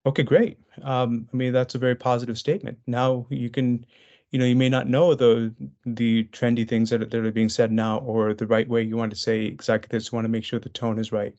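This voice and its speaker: A man leads a business webinar in a mid-range voice, talking his audience through slides in a loose, conversational way. He often restarts phrases and fills pauses as he goes. The audio is slightly dull and lo-fi, as if picked up by a computer mic. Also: mid-range voice, flat delivery, a little mellow. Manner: conversational, with frequent fillers and restarts. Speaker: webinar presenter.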